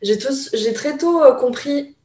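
A woman's voice: sits high, a little slow, mellow, roomy sound. A young woman from Taiwan, a guest in a casual chat, speaking thoughtfully with many hesitations. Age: young adult.

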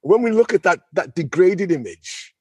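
A man's voice: high-pitched, expressive, moderate pace, darkish tone, husky, no room sound. A man talking conversationally about history as a podcast guest, enthusiastic and digressive, often repeating phrases for emphasis. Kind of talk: unscripted, conversational history discussion.

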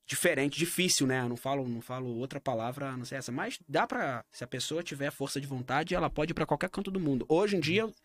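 Halting Brazilian man, casual chat. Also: medium pitch, no echo. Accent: Brazilian Portuguese. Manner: halting, repeats words and restarts often. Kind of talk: casual conversation.

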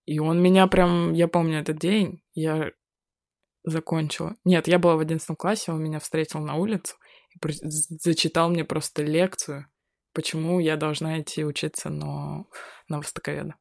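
Low-voiced young woman, wry and casual. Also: level tone, moderate pace, gravelly, darkish tone, no echo, clean studio sound. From Buryatia, Russia. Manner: laughing, ironic, swears casually. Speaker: guest. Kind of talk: casual chat.

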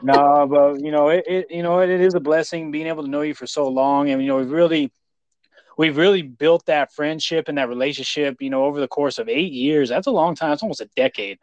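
A man's voice: fairly high pitch, a little fast, darkish tone, dry recording, muffled recording. An American man hosting a casual interview, talking effusively in a rambling way with many repeats. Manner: effusive praise, rambling, repeats "you know". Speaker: podcast host.

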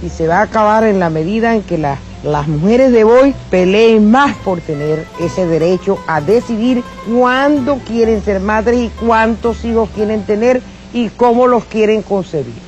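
A woman's voice: mid-range voice, normal speed, hardly any pauses, darkish tone, soft background music. A woman giving her opinion firmly and emphatically in a spontaneous interview manner. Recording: dull lo-fi archive recording.

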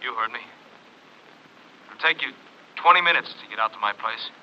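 Grim, despairing rancher, muffled. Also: male voice, mid-range voice, animated, moderate pace, pushed and bright. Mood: resigned, bleak. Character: despairing male rancher phoning the sheriff. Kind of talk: 1950s radio drama acting. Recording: muffled old radio recording.

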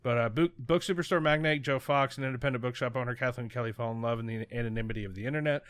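A man's voice: medium pitch, racing, a bit bright, clean tone. A man co-hosting a podcast, chatting casually in a rambling, hesitant way, often poking fun at himself. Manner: rambling, hesitant, self-deprecating. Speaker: podcast co-host. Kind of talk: casual chat.

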